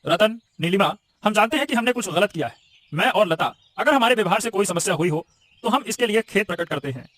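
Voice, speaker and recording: A man's voice: high voice, lively intonation, a little fast, a bit bright. A man voicing a worried, defensive shopkeeper in a Hindi cartoon, speaking hesitantly. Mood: apologetic. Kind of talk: Hindi moral-story cartoon voice acting. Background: music.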